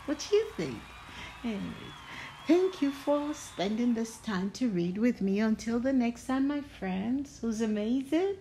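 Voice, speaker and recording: A woman's voice: fairly high pitch, animated, a little slow, mellow, a little hiss or hum. A woman reading a storybook aloud to young children as host, in a playful, affectionate sing-song manner.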